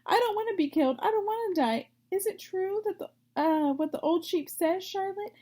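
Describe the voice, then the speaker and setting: A woman's voice: very high-pitched, moderate pace, dark tone, pitched above the performer's own voice. A woman voicing a panicked, childish young male pig in a storybook, wailing and pleading.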